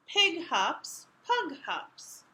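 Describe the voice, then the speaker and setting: A woman hosts a story time for toddlers. Her voice is high and bright, swinging widely in pitch. She reads in simple, emphatic phrases with a sing-song lilt, taking her time. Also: very animated, drawn-out words, slight background noise. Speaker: children's library story-time reader. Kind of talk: animated picture-book read-aloud for toddlers.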